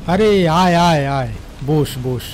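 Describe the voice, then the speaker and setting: A man's voice: fairly high pitch, slow pace, a little hiss or hum. A man with a West Bengal accent playing a friendly older-brother figure, a railway worker, in a cartoon ghost story. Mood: hearty, welcoming. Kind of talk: dramatized cartoon dialogue and storytelling. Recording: crickets and rain behind.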